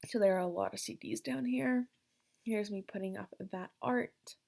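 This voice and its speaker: Young Canadian woman, casual rambling voiceover, gravelly fry, husky tone. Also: mid-range voice, normal speed, darkish tone. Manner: rambling, filler-heavy, self-deprecating. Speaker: gaming vlogger. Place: her bedroom in a basement.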